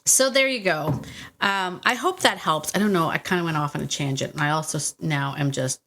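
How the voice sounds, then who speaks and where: A woman's voice: low-pitched, fairly quick, fairly bright tone, slight background noise. A woman hosting a podcast, talking casually and directly to the listener in a chatty, encouraging, informal manner that often interrupts itself. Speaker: podcast host and dog trainer. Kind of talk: casual solo podcast talk addressed to the listener.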